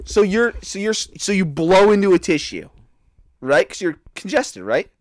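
A man's voice: sits high, sing-song, normal speed, no echo. A man co-hosting a podcast, rambling and crude in casual comedic chat, laughing a lot. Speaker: podcast co-host.

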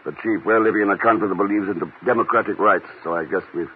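An actor playing a cool, wry secret agent with a dry manner in a 1950s radio drama. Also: male voice, fairly low pitch, fast, natural voice. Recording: noisy lo-fi old radio recording.

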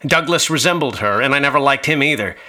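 A man's voice: medium pitch, lively intonation, fast talker, sharp tone. A man acting in a radio drama as a haunted young college student, uneasily recounting horrors. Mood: troubled. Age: young adult.

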